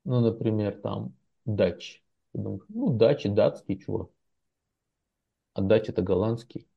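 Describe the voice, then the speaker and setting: A man with a lowish, gravelly voice chats easily as an interview guest. He rambles through anecdotes, pausing on fillers and restarting words. The sound is dull and lo-fi. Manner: relaxed storytelling with many fillers and restarts. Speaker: professional translator, guest. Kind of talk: casual interview chat.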